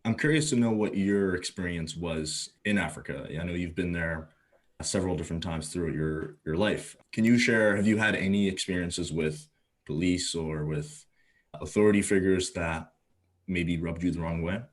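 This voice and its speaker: Very deep-voiced man from Ghana. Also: normal speed. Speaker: podcast co-host. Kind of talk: casual podcast discussion.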